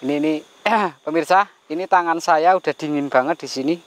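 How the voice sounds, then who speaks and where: A man acting as a spiritual medium on a night ghost hunt in a bamboo forest, calmly giving commands and reciting prayers. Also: fairly high pitch, a little fast. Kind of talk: night ghost-hunting vlog.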